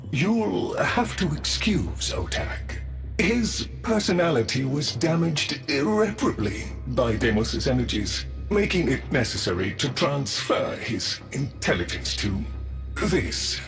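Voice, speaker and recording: An actor playing a fussy, polite machine butler in a game, prim, precise and patronising. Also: male voice, mid-range voice, very animated, unhurried, vocal fry, faint music behind. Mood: prim, apologetic. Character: fussy, polite male robotic butler intelligence. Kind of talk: video game character acting.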